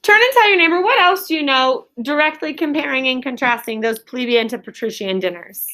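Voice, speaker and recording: A woman's voice: sits high, lively intonation, fairly quick, bright, forceful tone. A woman teaching an elementary class in a question-and-answer style, directing pupils and repeating their answers back to confirm them.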